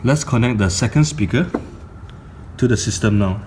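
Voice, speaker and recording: Man, middle-aged, fairly low voice, unhurried instructional delivery, short paused phrases, faint background noise. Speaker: audio gear demonstrator. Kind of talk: step-by-step instructional tutorial. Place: indoor carpeted room. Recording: faint room noise, phone camera audio.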